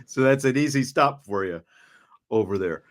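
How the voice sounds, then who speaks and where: A man's voice: medium pitch, lively intonation, moderate pace. A man interviewing a young athlete in a chatty, conversational way, often restarting and repeating words. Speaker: sports broadcaster, interviewer.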